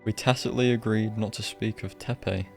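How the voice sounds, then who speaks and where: Low, creaky man streaming a game. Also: fairly even intonation, normal speed. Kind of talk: casual live game commentary, reading dialogue aloud. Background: soft game music.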